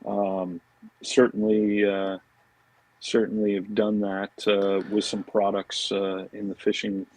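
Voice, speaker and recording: A man's voice: fairly low pitch, monotone, takes it slowly, a little mellow, no echo, low-quality recording. An American man talking casually as an interview guest, rambling informally and often dropping in "you know" and "man". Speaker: podcast guest, fishing products marketing director.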